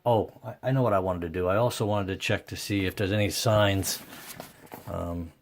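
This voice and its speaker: A man with a low, deep voice gives unscripted repair commentary. His intonation stays very flat. He speaks haltingly, working through his thoughts aloud in an informal, salty way. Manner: halting, thinking aloud, mildly profane. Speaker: host of a radio repair video.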